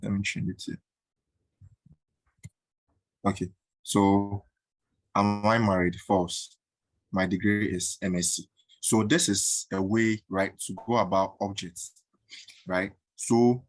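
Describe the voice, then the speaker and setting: A man teaching a coding tutorial in a halting way, with many fillers and frequent "right?" checks. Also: lowish voice, no room sound, poor audio. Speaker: coding instructor. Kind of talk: screen-recorded coding tutorial.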